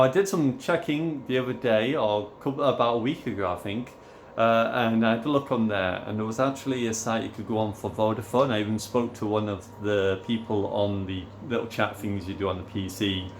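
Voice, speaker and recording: Gaming vlogger, man with a lowish voice, hoarse, rambling and casual. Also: slight background noise. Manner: rambling, repeats "obviously" and restarts often. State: losing his voice, hoarse. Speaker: gaming YouTuber. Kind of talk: casual rambling vlog talk.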